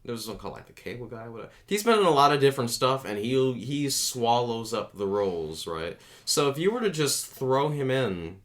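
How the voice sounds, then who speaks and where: A man's voice: medium pitch, very animated, a little fast, hardly any pauses. A man co-hosting a casual discussion, asking questions and objecting in a hesitant, self-correcting way. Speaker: show co-host.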